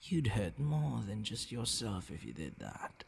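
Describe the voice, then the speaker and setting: A man playing a possessive, menacing vampire in an intimate ASMR roleplay, murmuring and whispering in a gravelly, commanding, condescending way. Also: big pitch swings, measured pace, slight background noise, exaggerated voice. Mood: menacing, coaxing. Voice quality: gravelly, breathy low murmur dropping into whispers. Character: possessive, menacing male vampire toying with his captive.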